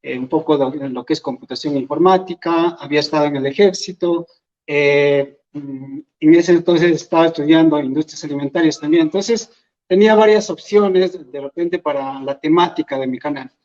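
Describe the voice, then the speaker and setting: A man from Peru, a Quechua speaker, giving an earnest, halting talk as an invited speaker at an event. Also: a little high, darkish tone. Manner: earnest, halting, thinks aloud. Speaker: invited speaker, language educator. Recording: dull lo-fi event recording.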